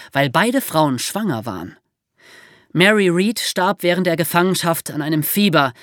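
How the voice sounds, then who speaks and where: A woman telling history as a podcast host, in a lively explanatory storytelling style for young listeners. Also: medium pitch, no echo, clean studio sound.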